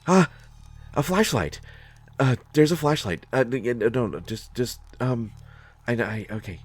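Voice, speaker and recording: A man playing a nervous, awkward, well-meaning character in a sci-fi audio drama, speaking haltingly with many stammers. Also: medium pitch, expressive, breathy edge. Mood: startled, excited. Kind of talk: solo scripted audio drama, conversational acting.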